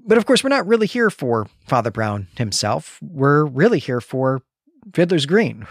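A man's voice: medium pitch, no room sound, clean studio sound. A man hosting a literary discussion podcast, talking in an articulate, discursive and emphatic conversational way. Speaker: podcast host.

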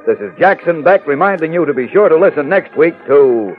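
Male serial narrator, declamatory, fairly high voice, dull vintage radio recording. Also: expressive, a little fast. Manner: dramatic, declamatory. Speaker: announcer and narrator. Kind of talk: 1940s radio scripted narration. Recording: muffled old radio recording with music.

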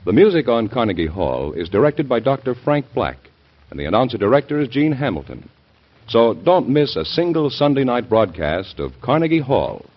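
An American man reading scripted announcements in the style of 1940s network radio. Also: lowish voice. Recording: dull vintage radio broadcast.

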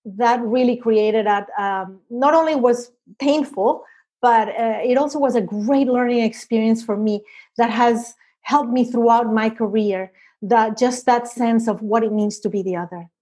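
A woman's voice: fairly high pitch, a little slow, dark tone. A middle-aged woman from Venezuela with a Venezuelan Spanish accent, a psychologist answering interview questions thoughtfully, with many fillers and restarts. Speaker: psychologist guest.

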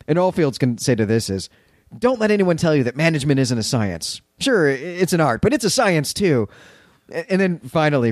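A man hosting a literary podcast in an animated, conversational voice. His pitch moves around a lot as he explains and stresses key words. The sound is dry and close to the microphone. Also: no room sound. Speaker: podcast host. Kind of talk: conversational literary recap and commentary.

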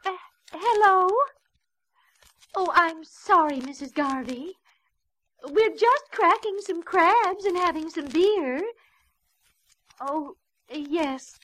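A woman's voice: extremely high pitch, animated, slow, pauses often, fairly bright tone, no echo, exaggerated voice. An actress playing an anxious, eager, pleading young woman in an old-time radio drama, fretful and prone to repeating herself. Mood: flustered. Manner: fretful, repetitive, stammering. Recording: slightly noisy old radio recording.

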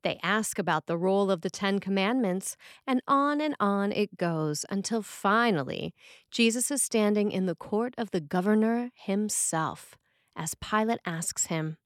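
A woman priest reading a scripted sermon in a measured, expressive way. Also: medium pitch, no echo, clean studio sound.

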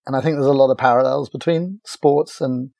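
A middle-aged man, chatting as a podcast guest in a relaxed, halting way full of restarts and fillers. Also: mid-range voice, gravelly, dry recording, studio quality. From Ireland. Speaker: podcast guest, agency founder. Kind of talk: casual interview chat.

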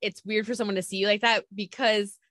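A woman's voice: medium pitch, level tone, a little fast, a bit bright, no echo, studio quality. A woman interviewer chatting casually in an informal, effusive way.

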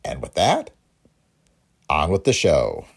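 A man's voice: rumbling low, takes it slowly, creaky, breathy edge. A man hosting an old-time radio podcast, reading a scripted introduction. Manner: read from a script, lively intonation. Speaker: podcast host.

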